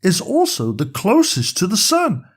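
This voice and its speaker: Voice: medium pitch, huge pitch swings, unhurried, husky, pitched above the performer's own voice, exaggerated voice. A storyteller voicing a small, chubby, friendly alien creature who eagerly explains things as a planetary guide in a children's bedtime story. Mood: eager, explaining.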